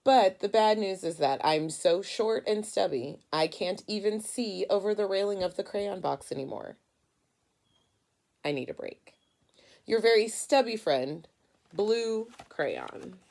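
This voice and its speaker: A woman's voice: mid-range voice, animated, measured pace, gravelly, darkish tone. A woman voicing a worn-out, stubby blue crayon in a storybook, polite and plaintive. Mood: weary.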